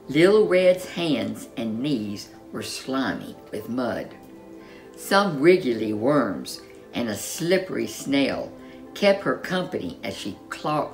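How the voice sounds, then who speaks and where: A woman narrating a children's story in an expressive, dramatic storyteller's manner. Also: very deep voice.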